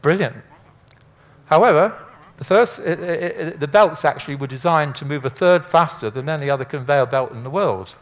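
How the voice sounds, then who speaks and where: A man's voice: a little high, moderate pace. Chatty older man giving an informal talk. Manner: chatty, rambling, anecdotal with dry humour. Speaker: speaker, former air traffic controller. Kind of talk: informal illustrated lecture.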